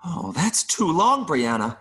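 An excitable little male mayfly from an audio play, acted by a man, pleading and a bit vain. He speaks very slowly, with pitch that swoops all over. His polite, stammering phrases are broken up by buzzing. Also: full character voice. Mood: nervous, earnest.

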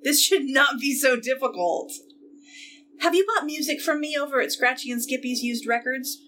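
Actress as brash, wry Destiny, high-pitched voice. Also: female voice, animated, normal speed, bright, forceful tone, a little hiss or hum. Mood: playful, exasperated. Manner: wry, blunt, playful. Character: Destiny, brash, quirky, wry single city woman. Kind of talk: romantic comedy audio play acting.

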